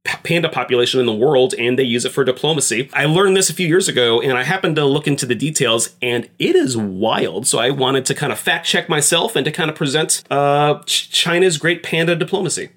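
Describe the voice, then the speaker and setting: Casual, halting male podcast co-host. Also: fairly high pitch, fairly bright tone. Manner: informal, halting with repeated words. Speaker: podcast co-host presenting facts. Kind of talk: casual chat presenting notes.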